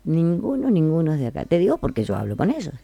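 A woman's voice: sits low, wildly swooping pitch, fast, gravelly, warm tone, breathy edge, no room sound. A woman with an Argentine accent hosting a casual radio chat, chatty and colloquial, repeating phrases for emphasis. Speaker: radio host.

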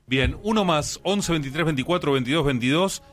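A man's voice: a little high, fairly quick, a bit bright. A man with a Rioplatense Argentine accent, presenting casually and effusively as a radio host. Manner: chatty, effusive.

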